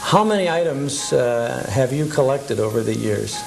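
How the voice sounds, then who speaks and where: A man's voice: mid-range voice, lively intonation, normal speed, vocal fry, a little mellow, soft background music, poor audio. A man presenting as the host of a television program, on an older cable TV recording. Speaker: TV show host and interviewer. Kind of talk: scripted ad narration and conversational interview.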